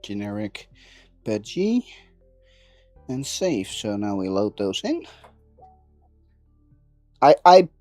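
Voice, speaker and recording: A man chatting casually while working on a build, thinking aloud in a halting way and repeating words. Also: lowish voice, big pitch swings, darkish tone, faint music behind. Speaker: maker livestreaming a 3D printing build.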